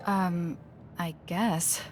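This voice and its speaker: A woman voicing an aloof, level-headed young female soldier in an anime game, with a flat, matter-of-fact delivery. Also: lowish voice, extremely slow, music in the background. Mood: cool, matter-of-fact. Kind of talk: anime video game dub acting.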